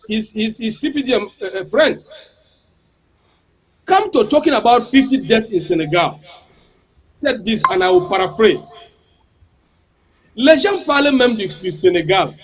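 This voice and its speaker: Impassioned high-voiced man debating. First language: not English. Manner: impassioned, rhetorical questions, repeats words. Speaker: panel guest. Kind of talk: heated political debate.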